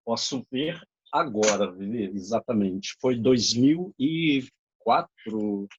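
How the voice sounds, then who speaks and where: A man's voice: medium pitch, lively intonation, slow, muffled recording, a little hiss or hum. A man from Brazil, a theatre director, chatting on a video call and correcting dates and names as he remembers them. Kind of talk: casual video-call chat.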